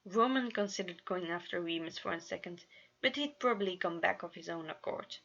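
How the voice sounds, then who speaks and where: A woman narrating a scripted story as an amateur reader, expressive, with small stumbles. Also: fairly low pitch, brisk, creaky, highs cut off. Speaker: amateur fan fiction reader.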